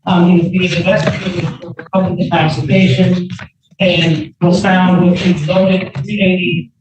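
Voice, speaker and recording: Male prosecutor w high voice, halting formal argument, super echoey and muffled. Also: level tone. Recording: very echoey, distant, muffled, noisy.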